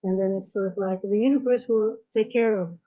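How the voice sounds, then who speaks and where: A woman's voice: medium pitch, steady tone, brisk, muffled recording. A woman from the Netherlands with a light Dutch accent, chatting frankly on her own live trading stream, rambling with many fillers and restarts. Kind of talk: casual live-stream chat. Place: home in South Africa.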